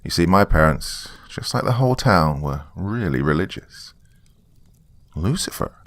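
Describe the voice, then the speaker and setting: Guy reading a first person story, low gravelly voice, really lively up and down, dry close sound. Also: moderate pace, no echo. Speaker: narrator.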